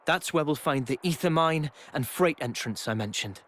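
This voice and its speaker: A man voicing a calm, serious young squad leader in a game cutscene, speaking in a measured, earnest way. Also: on the high side. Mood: focused. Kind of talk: video game cutscene acting.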